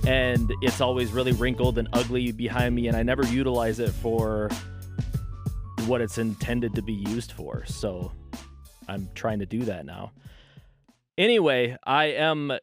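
A man in his thirties hosting a casual solo podcast from his bedroom, talking loosely and often correcting himself. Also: medium pitch. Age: 30s. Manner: loose, rambling, self-correcting. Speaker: podcast host.

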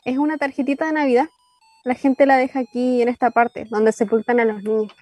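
A woman's voice: fairly high pitch, little pitch movement, brisk, warm tone, no room sound, soft background music, close to an everyday voice. A woman with a Chilean accent playing an inquisitive, teasing young woman in a radio drama. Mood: calm. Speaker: actor. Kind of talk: radio drama acting, casual dialogue.

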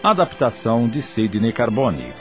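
A male radio drama announcer reads a scripted programme ident. He stretches the words out with a widely swinging intonation. Loud music plays behind him. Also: medium pitch, big pitch swings, measured pace. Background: loud theme music.

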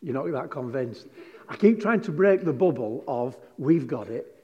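A man's voice: medium pitch, animated, moderate pace, a little mellow, muffled recording. A man preaching a sermon. He tells chatty, joking stories and reads scripture with emphasis. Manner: chatty, jokey storytelling with emphatic scripture reading. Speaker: pastor. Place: large hall with a congregation. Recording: long hall reverb.

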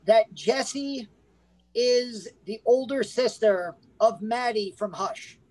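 A man's voice: high-pitched, slow pace, a little mellow, low-quality recording. A man co-hosting a casual film review podcast, rambling with many restarts and fillers. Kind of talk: casual chat.

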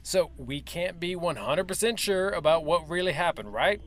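A formal army major briefs the press with clipped assurance, as a man acts him out in an audio drama. His voice sits a bit high, and his delivery is firm and official. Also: expressive, normal speed, a bit bright. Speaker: military officer.

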